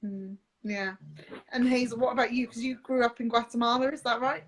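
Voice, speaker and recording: A woman's voice: on the high side, steady tone, fairly quick, slight background noise, rough recording. Chatty woman interviewer. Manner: chatty, lots of "like" fillers. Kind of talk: casual interview chat.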